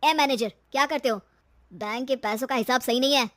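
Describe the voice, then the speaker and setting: A woman's voice: high voice, brisk, a bit bright, dry recording, clean studio sound. A woman voicing a stern, officious male bank auditor in a clipped, bossy manner. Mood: accusing.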